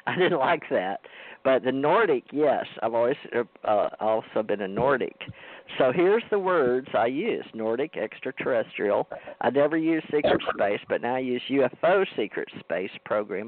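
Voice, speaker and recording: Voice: mid-range voice, no room sound. Man rambling over a phone line. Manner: rambling, self-interrupting. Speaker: host. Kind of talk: casual talk show chat.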